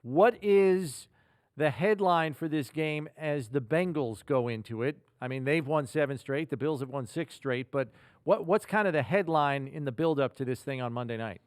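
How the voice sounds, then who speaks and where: A man's voice: a little high, moderate pace, dry recording. Male sports podcast host, close dry sound. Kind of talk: sports talk interview.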